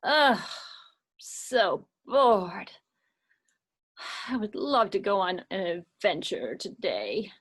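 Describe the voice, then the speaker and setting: A woman's voice: mid-range voice, expressive, extremely slow, long pauses, a bit bright, husky, exaggerated voice. A woman voicing a bored, curious young girl in a puppet show.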